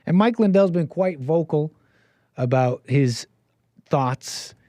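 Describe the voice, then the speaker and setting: A man's voice: on the high side, slow pace, mellow, no room sound, clean studio sound. An American man hosting a call-in show, rambling casually with lots of restarts and laughs.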